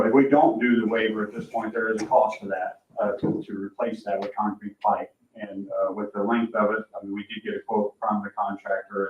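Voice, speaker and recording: A man presenting as a project engineer, explaining informally in a halting, self-correcting way. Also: on the low side, darkish tone, a little hiss or hum. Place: public meeting room. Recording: echoey room, distant muffled mic.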